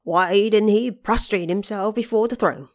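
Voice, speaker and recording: A woman's voice: mid-range voice, moderate pace, no echo, clean studio sound, lightly acted. Woman voicing pompous, outraged heavenly minister. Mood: shocked outrage. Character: scandalised, haughty divine court ministers.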